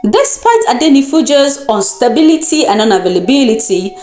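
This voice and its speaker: A woman with a Nigerian accent narrates a folktale as a storyteller, in a deliberate, dramatic and expressive manner. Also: sits high. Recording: roomy echo with music underneath. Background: music.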